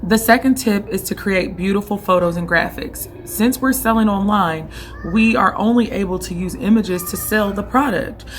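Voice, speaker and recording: A young woman with a hoarse voice she is losing, giving casual vlog tips on running an online boutique in a direct, coaching way that keeps checking in with the listener. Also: mid-range voice, moderate pace, faint music behind.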